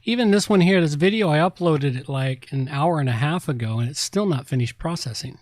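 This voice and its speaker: A man's voice: fairly high pitch, lively intonation, fairly quick, a little mellow, dry recording. Laid-back man chatting on a dry mic. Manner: laid-back, mumbles to himself while setting up. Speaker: podcast co-host. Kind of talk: casual chat.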